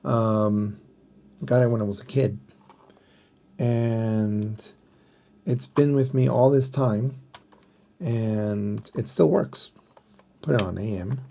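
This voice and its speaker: A middle-aged man giving a casual hands-on explanation in a halting manner, as if thinking aloud. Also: on the low side, vocal fry, mellow. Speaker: vlogger showing a vintage radio.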